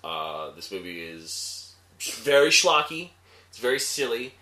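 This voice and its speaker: A man giving casual movie opinions as a panelist, halting and often correcting himself as he goes. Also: medium pitch, sing-song, sharp tone. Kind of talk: casual review chat. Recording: echoey room.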